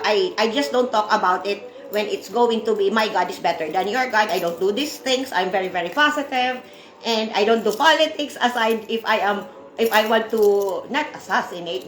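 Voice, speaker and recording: A woman's voice: on the high side, roomy sound, slight background noise, rough recording. A woman in her forties from the Philippines with a Filipino accent, chatting loosely to viewers on her livestream, laughing often. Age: 40s. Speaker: livestream host. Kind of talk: casual livestream chat with viewers.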